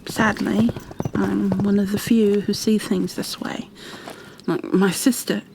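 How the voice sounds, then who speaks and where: A woman playing a kind, flustered young bookkeeper in a fantasy ASMR roleplay, speaking softly and breathily, often near a whisper, with gentle hesitations and apologies. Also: mid-range voice, little pitch movement, takes it slowly, vocal fry, background noise. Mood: gentle, wry. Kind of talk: ASMR roleplay, addressed to the listener.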